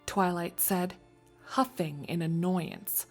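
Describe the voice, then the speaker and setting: A woman's voice: lowish voice, slow, soft background music. A woman narrating a scripted fan fiction story as a storyteller.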